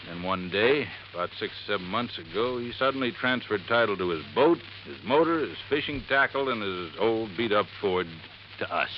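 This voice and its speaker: An actor playing an earnest, folksy American resort handyman in 1950s radio drama, telling things in a rambling, unhurried way. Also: lowish voice, expressive, natural voice. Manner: folksy, rambling storytelling with dropped formal grammar. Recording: dull, slightly noisy vintage radio recording.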